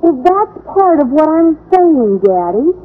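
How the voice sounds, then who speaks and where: An actress playing a principal's earnest teenage daughter in an old radio comedy, whiny and insistent. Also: female voice, high voice, sing-song, slow pace, heavily acted. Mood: protesting. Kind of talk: 1940s-50s radio comedy acting. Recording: noisy, narrow-band old radio recording.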